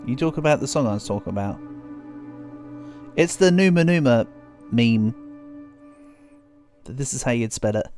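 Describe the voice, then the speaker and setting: A man's voice: medium pitch, animated, moderate pace, pauses often, darkish tone, faint music behind. A man streaming a video game, chatting casually and rambling, sounding a bit congested with a cold coming on. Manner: chatty, rambling, self-deprecating. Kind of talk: casual game livestream chat.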